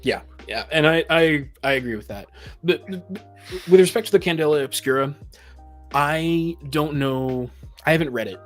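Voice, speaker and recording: A man's voice: on the high side, normal speed, faint music behind. A man in a casual podcast discussion, making his points emphatically and restating them. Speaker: podcast panelist. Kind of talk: casual opinionated discussion of tabletop games.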